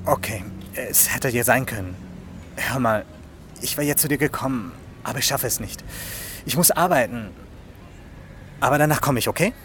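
A man acting as a brooding police investigator in a radio crime drama. His mid-pitched male voice is earnest and thoughtful, quietly insistent, over faint background noise. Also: normal speed, long pauses, close to an everyday voice. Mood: earnest, careful.

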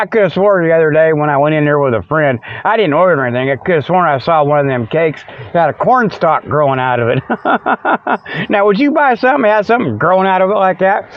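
Middle aged american guy, kinda high voice, folksy rambling comedy podcast, bit muffled lo-fi. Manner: folksy, colloquial, forgetful rambling. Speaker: podcast host. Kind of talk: rambling comedic monologue in casual chat. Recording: slightly dull lo-fi, dry sound.

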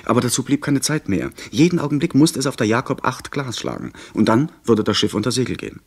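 An actor playing an earnest young gentleman who narrates his pirate adventure in a radio play, in measured, literary sentences. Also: medium pitch, big pitch swings, slightly rough. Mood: tense, disappointed.

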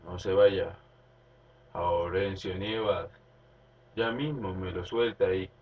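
A man with an Argentine accent voicing a gruff, soft-hearted rural police commissioner who gives orders haltingly in a spoken story. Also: sits low, pitched below the performer's own voice. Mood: gruff, relenting. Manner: commanding, halting, repeats himself. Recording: dull recording, distant, under music.

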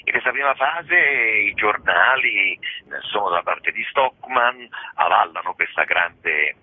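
Male radio interviewee, very husky and low, discursive and emphatic, heard over a thin telephone line. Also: animated, measured pace, no echo. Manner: discursive, emphatic with rhetorical questions and repetitions. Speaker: theatre practitioner being interviewed. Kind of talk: conversational explanation in a radio interview. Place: phone call into a radio programme.

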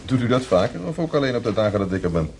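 An actor playing a genial, gently ironic hospital professor in a Dutch radio drama, speaking in a measured, courteous way. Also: male voice, mid-range voice, sing-song. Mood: dryly ironic. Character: genial male hospital professor, courteous, teasing, paternal doctor. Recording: noisy old radio play recording.

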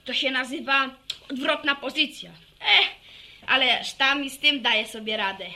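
An actress in a radio serial playing an excitable, boastful village youngster with an eager, tumbling way of talking. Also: female voice, high-pitched, moderate pace, heavily acted. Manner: eager, breathless storytelling with engine imitation. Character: excitable, boastful village youth mad about motorbikes and boxing. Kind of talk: Polish radio serial acting.

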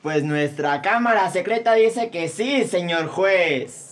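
A man's voice: high voice, animated, slow pace. A man playing a sly, fawning male court secretary in a Mexican radio comedy sketch.

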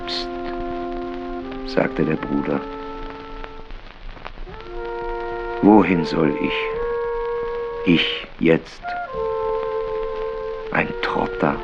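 An actor playing a melancholic, bitterly ironic writer who recites his works in a theatrical, deliberate way in a radio play. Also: very deep voice, fairly even intonation, gravelly, highs cut off, over loud music, heavily acted. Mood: mournful. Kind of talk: literary radio play reading.

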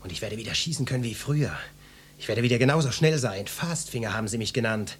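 An actor playing a brusque, swaggering male police inspector in a radio drama, gruff and bossy as he questions people. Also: medium pitch, animated, quick pace, slight background noise, natural voice. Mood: musing.

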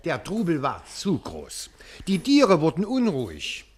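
A man's voice: fairly high pitch, wildly swooping pitch, a little slow, slightly rough, exaggerated voice. An actor playing a fussy, business-minded animal showman in a German radio play, explaining himself in a self-justifying way. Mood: busy, satisfied.

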